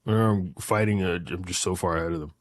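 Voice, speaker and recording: A man's voice: deep voice, fairly even intonation, fast talker, no echo, studio quality. A man in his late twenties chatting casually and rambling through hypotheticals, repeating phrases emphatically with profane slang. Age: late 20s.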